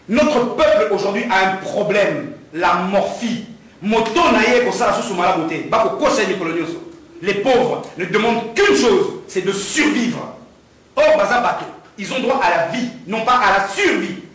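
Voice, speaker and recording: Middle-aged man from Congo at a press conference, fervent speaker, fairly high voice, recorded far off in a very echoey hall. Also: a bit bright. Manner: rousing, rising to fervent preaching pitch when speaking to the crowd. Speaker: political candidate at a press conference.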